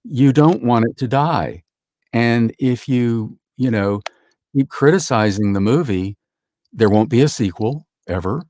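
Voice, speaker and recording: A man's voice: mid-range voice, unhurried, warm tone, no room sound. Male podcast guest, dry close sound, earnest and emphatic, repeats phrases for weight. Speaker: guest actor. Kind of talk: casual podcast chat.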